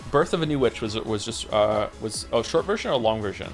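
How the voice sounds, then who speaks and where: A man streamer from Wales reading out chat messages in casual talk, with quick wry asides. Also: fairly low pitch, animated, faint music behind.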